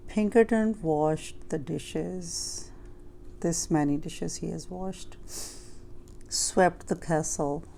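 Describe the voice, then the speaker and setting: A woman's voice: lowish voice, slow pace, creaky, mellow, slight background noise. A woman reading a picture book aloud to children, adding simple explanatory asides as she goes. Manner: simple explaining, addressing young listeners.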